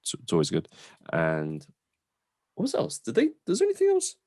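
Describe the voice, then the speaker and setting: A man's voice: low voice, huge pitch swings, fairly quick, a little mellow, no echo, clean studio sound. A man co-hosting a podcast in casual film chat, talking in a hesitant, rambling way and repeating himself. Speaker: podcast co-host.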